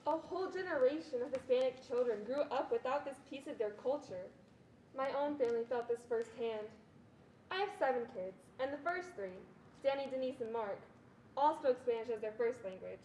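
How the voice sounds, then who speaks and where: A firm, practical mom in reader's theatre acted by a young woman, speaking in a high voice. She sounds distant from the mic in an echoey room. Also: moderate pace, warm tone, a little hiss or hum, close to an everyday voice. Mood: plainly recounting. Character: firm, practical mother with plans for her children. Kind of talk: scripted stage reading.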